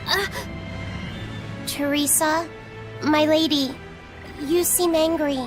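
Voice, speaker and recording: A woman's voice: sits high, fairly even intonation, slow pace, over music. A woman voicing a timid young boy who speaks hesitantly and meekly in a game. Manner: hesitant, meek. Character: timid young boy, a small gentle servant. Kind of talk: game voice acting.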